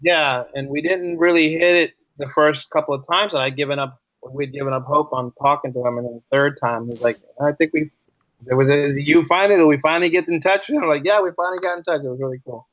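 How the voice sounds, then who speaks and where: A man's voice: on the high side, fast, a bit bright, no room sound. A man speaking as a podcast guest, telling stories conversationally in an earnest, emphatic way that repeats phrases. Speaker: podcast guest, writer and teacher. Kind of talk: casual conversational storytelling. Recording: dry, slightly dull lo-fi line.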